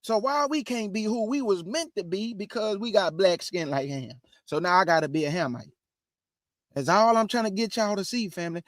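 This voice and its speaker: A man's voice: sits high, animated, moderate pace, fairly bright tone, no room sound, clean studio sound. A man hosting his show, giving casual religious commentary in a preachy way and calling his listeners family. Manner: preachy, addresses audience as family. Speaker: host.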